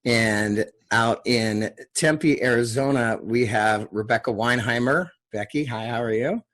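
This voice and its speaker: A man's voice: medium pitch, unhurried, fairly bright tone. A man hosting and reading stage directions at a screenplay table read, with casual delivery. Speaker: host and stage-direction narrator. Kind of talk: scripted screenplay narration and casual introductions.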